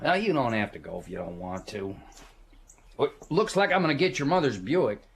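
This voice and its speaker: Middle-aged dad, lively pitch range, medium voice, colloquial, shifty, radio drama. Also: male voice, moderate pace, vocal fry, a little hiss or hum. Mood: offhand. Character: older, shifty, breezy father of a young boy.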